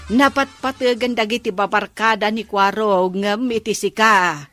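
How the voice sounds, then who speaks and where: A woman with an Ilocano accent playing a nagging, disapproving aunt in a radio comedy drama, with drawn-out reproaches. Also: medium pitch, steady tone, a bit bright, faint music behind, lightly acted. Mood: complaining.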